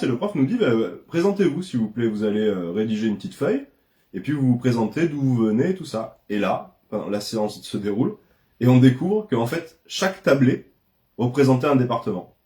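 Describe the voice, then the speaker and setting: Fast-talking man, mellow voice, echoey room. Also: mid-range voice, big pitch swings. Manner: fast, storytelling with quoted speech. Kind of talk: casual conversational storytelling.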